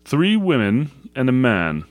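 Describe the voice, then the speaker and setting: A man's voice: medium pitch, animated, measured pace, dry recording, faint music behind, close to an everyday voice. A man voicing an old country shepherd in a storybook, with a rural English dialect and an emphatic, plain-spoken manner. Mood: grave warning. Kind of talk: storybook character voice.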